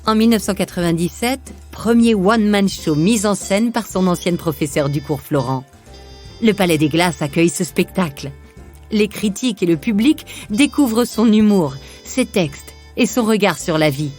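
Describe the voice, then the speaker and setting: A woman's voice: mid-range voice, moderate pace, a little mellow, faint music behind. A woman narrating a scripted biographical podcast.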